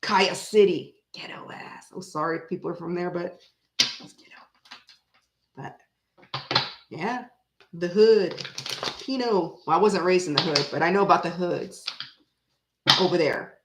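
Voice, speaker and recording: A woman in her forties, raised in Florida and bilingual in French, with an American accent. She chats casually with live viewers, rambling and breaking into sudden animated bursts. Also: lowish voice, unhurried, pauses often, reverberant, noisy background. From Canada, raised in Florida. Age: 40s. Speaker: tarot reader chatting with live viewers. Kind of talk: casual livestream chat.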